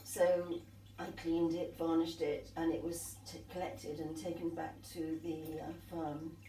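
Older female artist, mellow and rambling, recorded far off in an echoey sunroom with faint hiss. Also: low-pitched, flat delivery, a little slow. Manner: rambling, warm reminiscing with restarts. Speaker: artist and guest. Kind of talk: conversational storytelling. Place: sunlit glass conservatory at a tea table. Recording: echoey room, distant mic, faint hiss.

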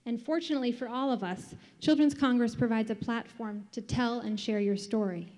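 A woman's voice: fairly high pitch, fairly quick, darkish tone. Formal woman speaking in a hall. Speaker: event chair giving a speech. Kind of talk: prepared speech. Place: conference hall. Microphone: podium microphone. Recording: hall reverb.

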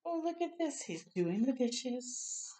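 A woman's voice: high voice, big pitch swings, slow, dark tone. An older woman doing a children's storytime, reading a picture book aloud and adding encouraging, chatty asides. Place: small room at home, indoors.

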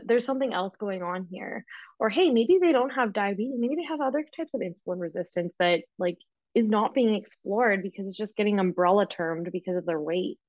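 A woman's voice: mid-range voice, a little mellow, no echo, lo-fi sound. A young woman from the Canadian prairies, a dietitian, chatting casually and animatedly in an interview over a video call, with many filler words. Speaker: registered dietitian guest. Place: small home room, indoors. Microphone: laptop microphone on video call.

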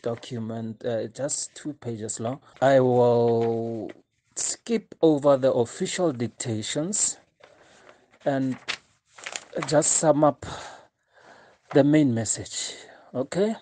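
A man playing an official who sums up a document in a drama, halting and measured. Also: medium pitch, mellow, natural voice. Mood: hesitant. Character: official summing up a short legal document.

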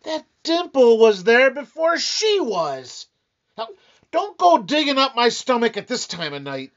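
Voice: medium pitch, big pitch swings, a little slow. An actor playing a weary, sarcastic, penny-pinching husband in an old-time radio comedy, grumbling and blustering wryly. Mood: exasperated. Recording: dull, lo-fi band-limited sound.

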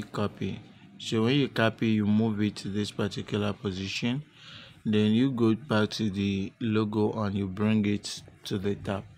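A man narrating a step-by-step software tutorial unscripted, with halting, repetitive instructional phrasing. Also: on the low side, a little hiss or hum. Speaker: tutorial presenter.

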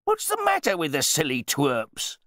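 A pompous, blustering rich man, loud and boastful, his voice pushed high and swooping widely. He speaks with rough grammar and overbearing confidence in a dry storybook reading. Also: wildly swooping pitch, normal speed, no echo, exaggerated voice. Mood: indignant. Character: pompous, blustering, newly rich male wine bore.

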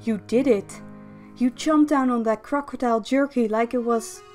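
A woman voicing a young, encouraging and teasing female army tactician in a fantasy game fan dub, with expressive delivery. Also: on the high side, moderate pace, faint music behind, lightly acted.

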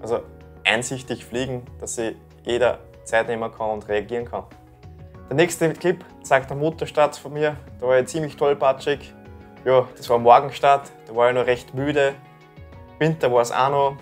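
A man's voice: medium pitch, normal speed, soft background music. A young man in his twenties with an Austrian German accent, casually talking through his own paragliding clips from a small room indoors. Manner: relaxed, dialect-tinged, talks through clips. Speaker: paragliding YouTuber commenting clips. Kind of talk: casual commentary.

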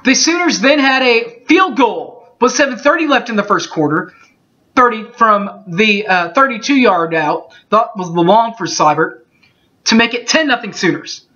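A man in his thirties reading through postgame football stats from his bedroom, steady and methodical, with small slips he corrects as he goes. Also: sits high, measured pace. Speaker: sports fan recapping a game. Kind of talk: webcam postgame stats recap. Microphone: webcam mic. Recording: dull lo-fi webcam audio.

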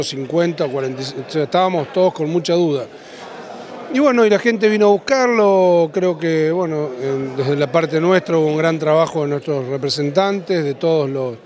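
A man in his thirties with an Argentine accent, answering interview questions as a livestock auctioneer, in a halting way that repeats and rephrases. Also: on the high side, darkish tone. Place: large indoor event hall after an auction. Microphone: handheld interview microphone.

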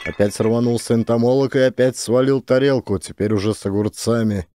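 A man's voice: mid-range voice, fairly even intonation, brisk, very noisy. A man narrating a scripted satirical story as an audiobook actor.

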